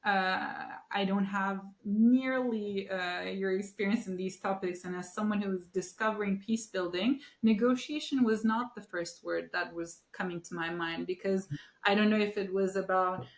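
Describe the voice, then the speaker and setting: Woman hosting, mid pitch, lots of ums and rephrasing, roomy echo. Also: normal speed. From Turkey. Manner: earnest, many fillers and rephrasings. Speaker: podcast host. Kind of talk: conversational interview.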